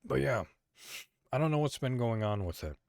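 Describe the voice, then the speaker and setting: A man's voice: medium pitch, lively intonation, moderate pace, creaky, darkish tone, no room sound, clean studio sound. A man from Illinois hosting a solo podcast, talking casually and rambling with frequent fillers and restarts. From Illinois, USA. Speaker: podcast host.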